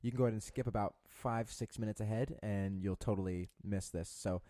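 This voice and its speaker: A man's voice: fairly low pitch, a little fast, warm tone. Rambling, cursing young male podcast host. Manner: profane, rambling storyteller with many restarts. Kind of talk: casual chat.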